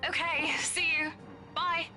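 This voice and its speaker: A woman's voice: extremely high pitch, little pitch movement, takes it slowly, full character voice. An actress voicing a chatty, impatient, teasing young woman realtor in a horror visual novel, breezy and dramatic. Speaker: realtor character in a game. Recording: game dialogue over loud music.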